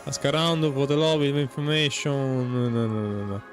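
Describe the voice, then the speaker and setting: A man giving casual, hesitant commentary as he plays through a game, musing in a loose, halting way. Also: medium pitch, soft background music. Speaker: gaming streamer.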